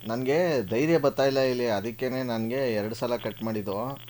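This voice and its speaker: A man's voice: mid-range voice, moderate pace, crowd noise. A man with a southern Karnataka Kannada accent bickers in a colloquial, needling, aggrieved way, as a prank caller on the phone. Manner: colloquial, needling, feigned grievance.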